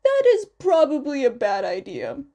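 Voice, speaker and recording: A woman's voice: high-pitched, huge pitch swings, normal speed, dark tone, muffled recording. A woman voicing a sheepish, eager teenage schoolboy who earnestly recounts what happened, in an audio fan fiction reading.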